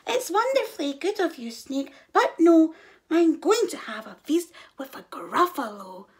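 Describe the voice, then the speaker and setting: Brief: a woman voicing a cheeky little storybook mouse, squeaky high and bouncy. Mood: excited. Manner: bright, excited and quick-witted. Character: small clever boastful male mouse.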